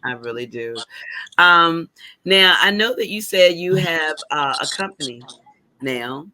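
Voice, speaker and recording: A man's voice: a little high, animated, a little slow, pushed and bright. A man hosting an interview in a chatty, affirming way, often backing up the guest. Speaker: interview host. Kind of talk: casual interview chat.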